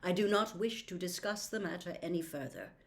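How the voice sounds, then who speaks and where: A woman voicing a calm, stern old male wizard headmaster in a storybook reading, hushed, formal and measured. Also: on the low side. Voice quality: quiet, hushed.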